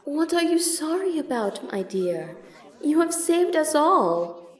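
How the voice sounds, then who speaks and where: A woman playing a gentle, protective monster mother in an audio drama, speaking in a formal, careful, soothing way. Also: high-pitched, sing-song, takes it slowly, reverberant, heavily acted. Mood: concerned.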